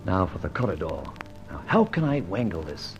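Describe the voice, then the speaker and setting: An actor playing a dashing, self-assured gentleman adventurer in an old-time radio detective serial, jaunty and clipped. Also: sits low, big pitch swings, normal speed, lo-fi sound, over music. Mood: puzzled, muttering. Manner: jaunty, clipped, theatrical exclamations. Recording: noisy old radio recording.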